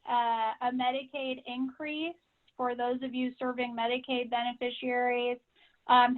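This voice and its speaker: High-pitched woman on a tinny phone line. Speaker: association staff member giving member updates. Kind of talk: informational briefing on a conference call. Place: conference call.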